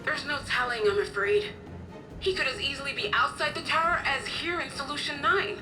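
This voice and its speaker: An actress playing a kindly, motherly guardian in a video game cutscene, explaining things in a gentle storytelling way. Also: sits high, moderate pace, music in the background, close to an everyday voice. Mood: apologetic, worried. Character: kindly motherly woman, caring guardian of an orphaned boy.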